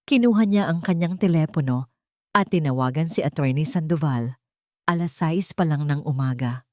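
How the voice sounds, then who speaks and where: Low-voiced Filipino woman narrating a story. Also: normal speed, a little mellow, dry recording, clean studio sound. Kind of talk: scripted dramatic storytelling narration.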